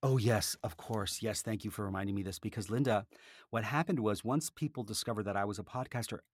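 A man speaking as a podcaster and author, telling things in an animated, conversational way. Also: fairly low pitch, brisk, no room sound, clean studio sound. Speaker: podcaster and author, interview guest. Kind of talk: casual conversational interview, with a read-aloud book passage.

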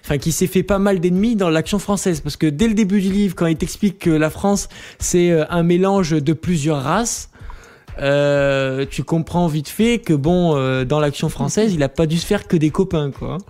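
A man's voice: fairly high pitch, normal speed, darkish tone. Hesitant man on a stream panel. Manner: hesitant, repeats words while searching. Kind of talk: casual live-stream debate.

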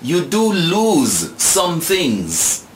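A man's voice: on the high side, wildly swooping pitch, drawn-out words, a little hiss or hum. A man in his sixties from Jamaica with a Jamaican accent, telling stories thoughtfully and repeating words as he searches for them. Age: 60s. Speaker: guest. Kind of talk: reflective storytelling. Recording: echoey room with faint ambience.

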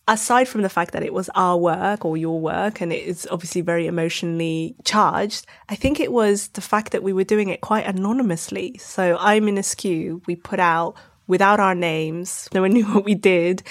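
A woman with a fairly low voice answers as an interview guest. She speaks conversationally, explaining herself in a reflective way and softening her points with hedges. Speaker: interview guest, podcast creator.

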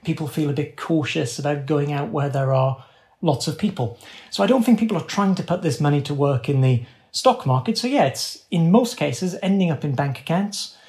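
A man with a slightly high-pitched voice talks through economic analysis in an interview. He explains things conversationally, in a measured but informal way. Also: lively intonation, darkish tone.

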